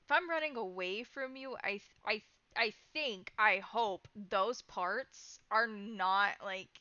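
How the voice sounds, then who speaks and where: A woman player improvising in-character chat in a tabletop role-playing game, speaking in a halting, informal, conversational way. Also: medium pitch, pushed and bright, no echo, lo-fi sound.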